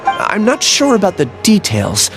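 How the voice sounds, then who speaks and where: High, lively-pitched meek young man. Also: unhurried, over music, full character voice. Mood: troubled, hesitant. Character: earnest, meek young man, troubled and apologetic. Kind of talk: video game voice acting.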